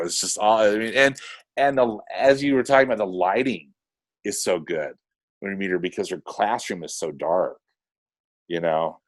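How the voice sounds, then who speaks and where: Earnest man with a lowish voice. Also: no room sound. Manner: earnest, halting, often rephrasing mid-thought. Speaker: podcast co-host reviewing animated films. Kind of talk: casual film discussion.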